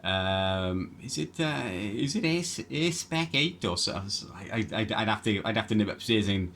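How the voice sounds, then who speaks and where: Male radio ham, lowish voice, rambling casual delivery, clear noise in the recording. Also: lively intonation, moderate pace, a bit bright. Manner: hesitant, rambling. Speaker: amateur radio operator. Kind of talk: casual two-way radio chat.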